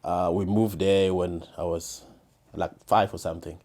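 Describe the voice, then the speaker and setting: Man, lowish voice. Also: little pitch movement, a little mellow. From South Africa, KwaZulu-Natal. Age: 30s. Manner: halting, restarts and repeats words often. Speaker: podcast guest, former defense attorney. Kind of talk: casual interview chat.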